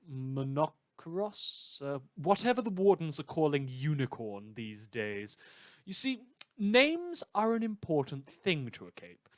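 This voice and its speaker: A man's voice: mid-range voice, dry recording. A man playing a sarcastic radio news host in a superhero world, delivering scripted comedy news with theatrical dry asides. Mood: snide warning.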